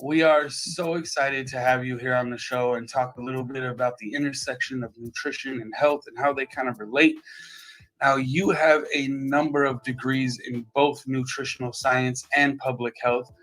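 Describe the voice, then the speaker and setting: A man's voice: medium pitch, steady tone, normal speed, fairly bright tone, poor audio. A man hosting an interview show, introducing his guest with enthusiasm and asking questions. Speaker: show host.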